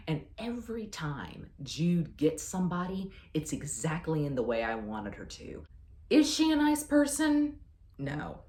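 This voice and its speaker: A woman's voice: low-pitched, darkish tone. A woman chatting casually as a book reviewer, effusive and emphatic with a playfully self-deprecating manner. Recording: roomy, echoey sound.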